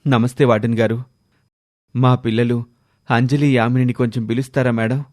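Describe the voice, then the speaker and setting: A man's voice: medium pitch, level tone, moderate pace, a little mellow, natural voice. A man voicing a troubled, apologetic father with a helpless, pleading manner in a moral story.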